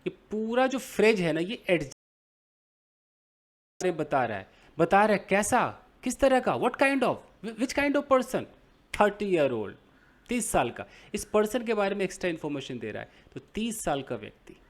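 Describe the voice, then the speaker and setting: A young man with an Indian accent teaches beginners English from a newspaper. His voice swings freely up and down in pitch, and he draws out English phrases slowly before explaining them. He keeps checking in with his viewers through questions. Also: sing-song.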